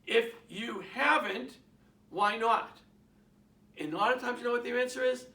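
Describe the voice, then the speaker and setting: A man's voice: extremely high pitch, normal speed, sharp tone, distant mic. A man with a Boston accent giving an emphatic motivational sales talk to realtors, repeating his points and asking the audience questions. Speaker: real estate sales trainer. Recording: roomy, from off the mic.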